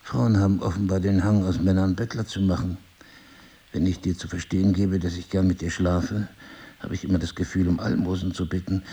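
Low, gravelly, insistent husband. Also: male voice, little pitch movement, fairly quick, dark tone. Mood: pressing, reproachful. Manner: insistent, questioning, theatrically eloquent. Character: intense, probing crime-writer husband with memory loss. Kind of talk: intimate two-person audio drama acting.

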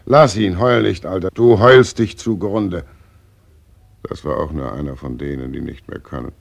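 A gravelly, very deep-voiced sardonic Death. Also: male voice, normal speed. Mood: breezy, dismissive. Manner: sardonic, offhand, poetic. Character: Death as a fat, well-fed, jovially morbid undertaker. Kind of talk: post-war German radio play acting.

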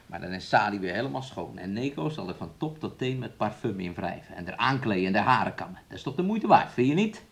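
A man's voice: fairly low pitch, sing-song, fast, creaky, hardly any pauses, slightly rough, heavily acted. An actor in a radio play, playing a callous, impatient man who bullies his partner. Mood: bossy coaxing. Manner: brusque, impatient, refers to himself in third person. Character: domineering, callous man bullying his sick woman partner.

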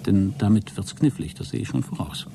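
An actor playing a deluded, exhausted astronaut who keeps a logbook in a radio play, halting, self-justifying and grandiose. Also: male voice, low-pitched, animated, vocal fry, in a whisper. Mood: tense, secretive. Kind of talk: dramatic radio play monologue.

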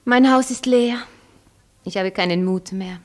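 A woman's voice: mid-range voice, unhurried, darkish tone, close to an everyday voice. An actress playing a broken, sentimental old French hotel landlady in a radio play, lamenting wearily. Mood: mournful. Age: older. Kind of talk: melodramatic radio play acting.

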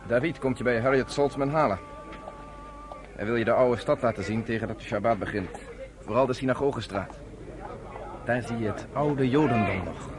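An actor playing an earnest, self-assured young man of the underground in a Dutch radio play, with a measured way of explaining things. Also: medium pitch, crowd noise, natural voice. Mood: brisk.

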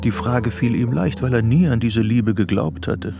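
An actor narrating a psychological radio drama in a measured, detached literary style. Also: male voice, mid-range voice, vocal fry, over music, close to an everyday voice.

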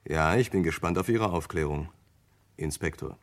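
A grave gentleman lawyer in a radio mystery. His voice sits very low, and he talks with serious curiosity. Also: moderate pace. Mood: curious. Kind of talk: German radio crime drama acting.

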